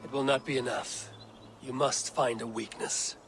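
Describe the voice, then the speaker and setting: An actor playing a measured, strategic Viking war leader in a video game, solemn and deliberate in archaic phrasing. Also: male voice, mid-range voice, fairly even intonation, a bit bright, a little hiss or hum, natural voice. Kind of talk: dramatic game cutscene acting. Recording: music behind the voice.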